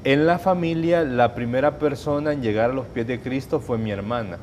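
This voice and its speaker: Salvadoran man giving measured testimony. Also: mid-range voice, darkish tone, a little hiss or hum. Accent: Salvadoran Spanish. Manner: measured, hesitant, with restarts and fillers. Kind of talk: personal testimony in an interview.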